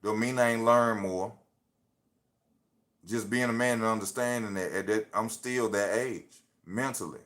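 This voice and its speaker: A man in his thirties talks straight into his phone on a live stream, easy and slangy. His voice sits on the low side, and he drives home each point by saying it twice. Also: fairly even intonation, measured pace, fairly bright tone. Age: 30s. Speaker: livestream host. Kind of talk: casual motivational live talk.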